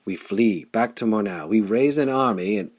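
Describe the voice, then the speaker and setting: A man's voice: lowish voice, normal speed, voice pushed up, natural voice. A man voicing a proud, sullen nobleman who argues and blusters in a pulp adventure story. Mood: indignant. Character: proud, sullen, bellowing male nobleman, argumentative.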